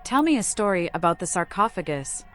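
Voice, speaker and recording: A woman's voice: on the low side, big pitch swings, brisk, fairly bright tone, soft background music. Woman playing a cryptic, unhinged vampire woman. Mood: playful. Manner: cryptic, terse, odd phrasing. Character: cryptic, unhinged female vampire with eerie playful temper. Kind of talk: video game voice acting.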